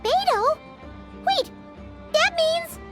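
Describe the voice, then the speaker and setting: A woman voicing a tiny, excitable, childlike game companion with a squeaky, chirpy voice. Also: drawn-out words, heavily acted. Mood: alarmed. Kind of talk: video game character acting. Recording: music behind the voice.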